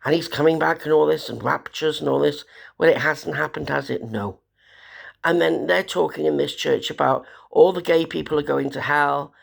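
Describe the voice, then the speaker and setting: A woman, hoarse from a cold, talking in a despairing, rambling way about her troubles. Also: deep voice, moderate pace. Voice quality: gravelly. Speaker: vlogger. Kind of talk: rambling personal monologue.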